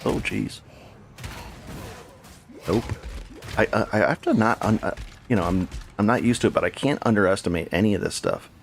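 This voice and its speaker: Man, game streamer, low gravelly voice, rambling live commentary, faint hiss behind. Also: moderate pace, long pauses. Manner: rambling, self-correcting, chatty with viewers. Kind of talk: casual live gameplay commentary.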